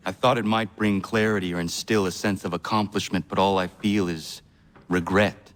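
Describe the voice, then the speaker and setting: An actor playing an earnest, resolute young Native warrior in a video game cutscene, with measured, solemn phrasing. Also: male voice, sits low, fairly even intonation, natural voice. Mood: somber.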